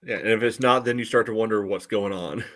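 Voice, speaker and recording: Dude w a lowish voice cohosting a sports podcast, casual, kinda halting delivery. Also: male voice, fairly bright tone. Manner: halting, peppered with "you know". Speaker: podcast co-host. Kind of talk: casual sports commentary chat.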